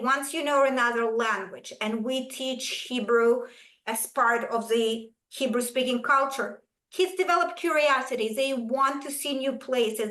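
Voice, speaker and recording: A middle-aged woman from Ukraine with a Russian accent, a native Russian speaker, giving an animated, emphatic presentation to parents. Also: high-pitched. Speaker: curriculum presenter. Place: school event room. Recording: echoey room.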